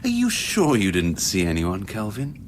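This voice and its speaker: An actor playing an evasive, cynical scientist on a remote station in a radio play, cagey and sardonic, with halting, wordy delivery. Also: male voice, lowish voice, huge pitch swings, a little hiss or hum. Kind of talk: radio drama acting.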